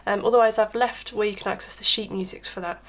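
Hoarse woman teaching piano. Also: medium pitch, fairly even intonation, fast. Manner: chatty, explains step by step with filler words. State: hoarse from being under the weather. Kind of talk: casual tutorial explanation. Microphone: camera microphone with crackle. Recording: crackling camera mic with piano playing. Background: piano playing.

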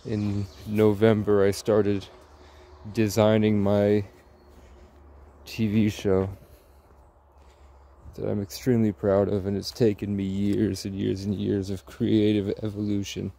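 A man in his twenties giving a slow, rambling monologue in short, halting phrases while walking outdoors. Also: on the low side, monotone, vocal fry, dark tone. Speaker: vlogger. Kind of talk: slow rambling monologue, ASMR-style walk.

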